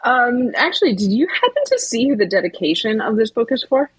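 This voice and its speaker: A woman's voice: on the high side, sing-song, fairly quick, husky, no room sound, low-quality recording. A woman co-hosting a podcast, chatting thoughtfully and searching for words. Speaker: podcast co-host. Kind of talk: casual chat.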